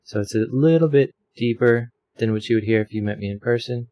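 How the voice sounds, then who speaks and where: A man's voice: medium pitch, a little fast, mellow. A man casually explaining his audio filter settings from a home desk microphone, rambling with lots of fillers. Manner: rambling, self-deprecating, many fillers. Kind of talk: casual tutorial. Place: home room with a fan running.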